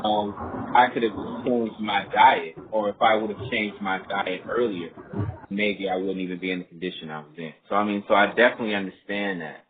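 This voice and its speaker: A man with a slightly low voice moderates a group call over a narrow telephone line. He explains things politely and casually, stopping and restarting as he goes, with faint noise behind him. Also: fairly even intonation, a little fast. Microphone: telephone conference line. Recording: muffled phone line with clear noise, faint.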